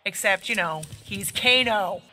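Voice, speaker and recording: A woman's voice: mid-range voice, unhurried, lightly acted. An actress playing a tough female special forces soldier who talks bluntly and sarcastically. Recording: game audio with music behind.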